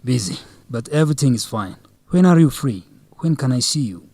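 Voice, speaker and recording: A man's voice: medium pitch, expressive, moderate pace, mellow, husky, dry recording. A man acting as a hurt, jealous young student boyfriend in a radio drama, earnest and reproachful. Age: young adult. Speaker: actor in an educational radio drama.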